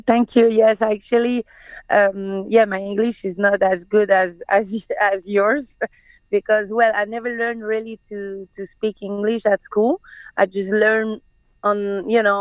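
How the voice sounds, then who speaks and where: French-accented woman in her 30s, persian first language, halting and laughy, calling in from her car, pretty dry sound. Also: mid-range voice, no room sound, dull audio. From France. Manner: hesitant, many restarts and laughs. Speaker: guest. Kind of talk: casual interview. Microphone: video call.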